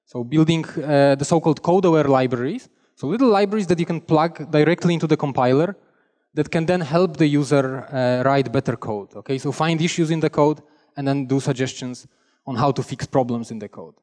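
A man's voice: a little high, brisk, a little mellow, in a large hall. A young man from Poland with a Polish accent, giving a chatty, self-deprecating technical talk on a conference stage. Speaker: conference speaker. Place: large conference hall stage. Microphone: headset mic.

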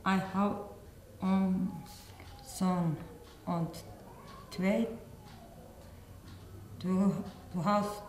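A middle-aged woman reads and answers in basic English, halting between single words and correcting herself. Her speech is extremely slow. Her voice is mellow, and the small studio gives it a roomy echo with a faint hiss. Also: medium pitch, fairly even intonation. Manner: halting, word by word, self-correcting. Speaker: adult English learner. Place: small studio set, indoors.

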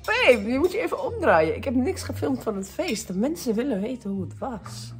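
A woman's voice: on the high side, very animated, normal speed, soft background music. A woman, chatting casually to her phone camera, laughing often and dropping in English phrases. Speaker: vlogger. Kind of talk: casual vlog chat. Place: indoors, then in a parked and driving car. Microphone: phone at arm's length.

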